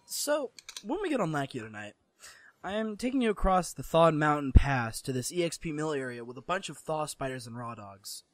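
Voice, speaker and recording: A man's voice: on the high side, sing-song, fairly quick. A man voicing a brash, teasing young male gamer friend in a visual novel. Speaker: voice actor in a fan dub.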